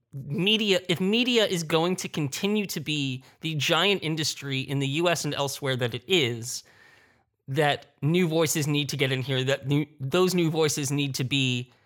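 Bright-voiced man in a roundtable discussion. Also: fairly high pitch. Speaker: panelist.

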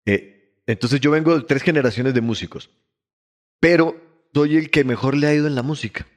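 A man's voice: mid-range voice, normal speed. A man in his fifties, born in Colombia and raised in Ecuador, a musician chatting animatedly in an interview and restarting his sentences often. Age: 50s. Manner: animated storyteller, frequent restarts, laughs easily. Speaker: musician guest. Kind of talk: casual interview chat.

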